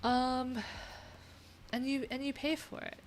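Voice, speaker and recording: A woman's voice: high voice, flat delivery, slow pace. A woman live streaming, chatting informally and thinking aloud in a rambling, unfiltered way. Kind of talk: casual live tech stream commentary.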